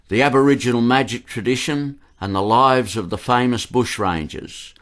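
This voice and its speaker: A man giving scripted historical narration in a serious, measured way. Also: medium pitch, fairly bright tone.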